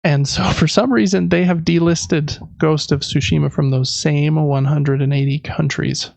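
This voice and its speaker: A man's voice: a little high, fairly even intonation, normal speed, gravelly, a little mellow, no room sound. A man co-hosting a podcast, talking through news casually in an informal, rambling, self-correcting way. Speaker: podcast co-host. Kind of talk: casual gaming news chat.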